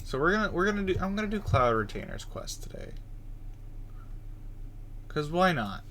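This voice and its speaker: A woman's voice: lowish voice, big pitch swings, moderate pace, fairly bright tone, a little hiss or hum. A woman streaming a game and making wry, teasing asides. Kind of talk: casual game stream commentary.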